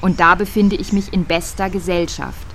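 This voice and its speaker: A woman's voice: lowish voice, fairly even intonation, normal speed, a bit bright. An actress playing a self-justifying single career woman in an audio drama, speaking in an articulate, theatrical way. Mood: defiant musing. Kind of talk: audio drama monologue and dialogue. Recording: echoey room, faint background noise.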